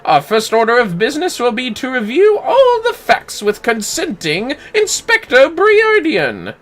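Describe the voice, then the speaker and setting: Very high, pompous gentleman inspector. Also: huge pitch swings, measured pace, full character voice. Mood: flustered, earnest. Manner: flowery, theatrical, grandiose. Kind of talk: video game dialogue read aloud.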